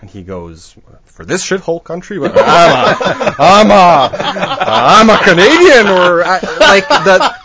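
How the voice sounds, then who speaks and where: A man from Serbia on a podcast panel, telling a travel story in casual chat and getting loud when he quotes people. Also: high-pitched, very animated, measured pace, over a noisy crowd.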